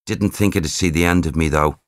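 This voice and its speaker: A man voicing a cheeky young male spirit with a Liverpool accent, talking cocky and loud. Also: a bass voice, fairly quick, no room sound, clean studio sound.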